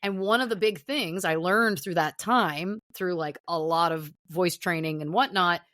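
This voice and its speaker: A woman's voice: fairly low pitch, measured pace, fairly bright tone, no echo, studio quality. A middle-aged woman chatting as a podcast guest, telling self-deprecating stories in a loose, rambling way. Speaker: podcast guest, actor and musician. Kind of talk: casual interview chat.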